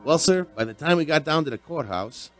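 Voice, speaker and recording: A man playing a folksy, animated bartender storyteller. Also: fairly high pitch, brisk, faint music behind, close to an everyday voice. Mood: reflective storytelling. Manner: conversational, storytelling. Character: folksy, chatty male bartender telling his strange tale. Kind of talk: audio drama narration and dialogue.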